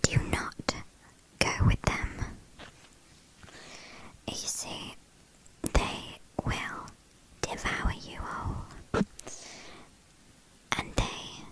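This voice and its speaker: A woman's voice: fairly bright tone, poor audio. A woman with a British accent playing a teasing, haughty vampire kidnapper in an ASMR roleplay, whispering breathily. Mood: ominous warning. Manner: teasing, mock-apologetic, unhurried.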